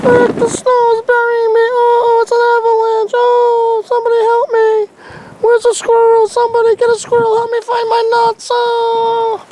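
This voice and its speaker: A man's voice: extremely high pitch, completely flat delivery, moderate pace, heavy background noise. A man voicing a tiny, panicky clay figure stuck in the snow, wailing and whining for help. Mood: panicked. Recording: far from mic over loud music.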